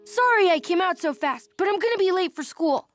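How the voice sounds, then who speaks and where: An actor voicing an excitable, chirpy young child in a children's cartoon, with eager exclamations. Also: extremely high pitch, fairly quick. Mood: excited, eager. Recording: loud music behind the voice.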